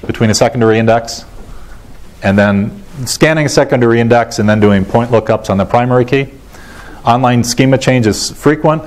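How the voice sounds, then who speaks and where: Low-pitched middle-aged American man presenting. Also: a little mellow. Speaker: conference keynote speaker. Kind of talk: technical conference presentation. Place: large lecture auditorium, indoors. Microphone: lapel mic.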